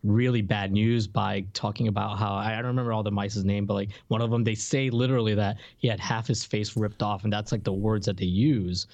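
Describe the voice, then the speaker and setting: A man's voice: on the low side, steady tone, brisk, creaky, hardly any pauses, darkish tone, no room sound. A man co-hosting a podcast, chatting informally in loose, slangy language. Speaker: podcast co-host. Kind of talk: casual, informal chat.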